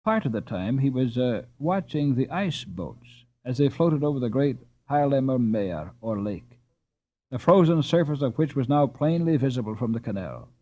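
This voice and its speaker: A man reading a children's story aloud as an audiobook narrator, with an expressive and deliberate storytelling delivery. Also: mid-range voice, highs cut off. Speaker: audiobook reader.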